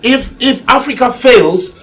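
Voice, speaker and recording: A man's voice: very high-pitched, a bit bright. A middle-aged man from Ghana speaking thoughtfully as a guest on a televised panel about education and leadership. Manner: measured, reflective, addresses young panelists. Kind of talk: panel discussion.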